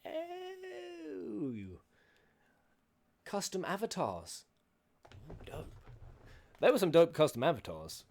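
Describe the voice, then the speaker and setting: A man hosting a reaction video, excitable and swearing, shouting at the screen and at times dropping to a whisper. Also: high-pitched, slow, gravelly, pauses often. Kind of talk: live reaction commentary. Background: game cutscene audio and music.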